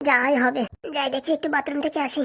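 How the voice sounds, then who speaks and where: A meek young cartoon housewife, voiced by a woman, with a high voice and a slight creak. She speaks plaintively and melodramatically. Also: level tone, fast. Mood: meek, unwell. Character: meek, dizzy pregnant young housewife, wailing when hurt. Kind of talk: comedy cartoon dubbing.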